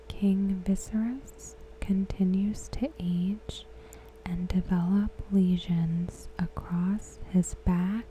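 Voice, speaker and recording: A woman reads aloud softly in an ASMR style, in a hushed, breathy whisper with short phrases and long pauses. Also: fairly low pitch, a little hiss or hum, rough recording. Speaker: ASMR host.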